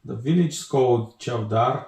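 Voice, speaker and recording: A man from Bulgaria with a Bulgarian accent, chatting as an interview guest in a halting way with restarts and many fillers. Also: mid-range voice, darkish tone, reverberant. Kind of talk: casual interview chat.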